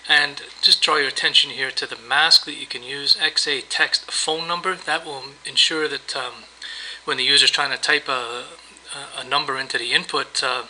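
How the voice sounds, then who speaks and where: A man with a very bright, forceful voice at a middling pitch walks through a software demo. He explains things casually, one step at a time, as in an unscripted screencast. Some background noise sits behind the voice. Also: steady tone, moderate pace, rough recording. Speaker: software tutorial presenter. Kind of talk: screencast demo narration, semi-improvised walkthrough.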